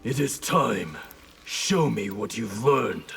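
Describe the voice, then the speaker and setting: An actor playing a stern, wise male martial arts master in a video game, grave, measured and instructive. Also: lowish voice, lively intonation, gravelly, a bit bright, a little hiss or hum, full character voice.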